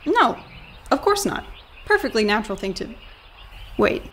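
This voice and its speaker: A woman acts a young tourist in a radio drama, skeptical and quick to sarcasm. Her voice is fairly high, with faint birdsong behind it. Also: sing-song, fairly bright tone, slight background noise. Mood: agitated. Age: young adult. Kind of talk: radio play acting. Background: birdsong, woodland ambience.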